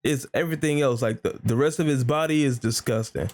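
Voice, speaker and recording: A man's voice: mid-range voice, fairly quick, noisy background. Casual man reacting to game cutscenes. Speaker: reaction video host.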